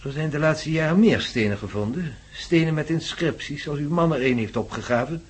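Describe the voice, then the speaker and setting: An actor playing a courteous professor in a radio drama, speaking in a grave, measured, formal manner with a husky voice. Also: male voice, on the high side. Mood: grave, explanatory. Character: grave, courteous male professor explaining ominous mysteries.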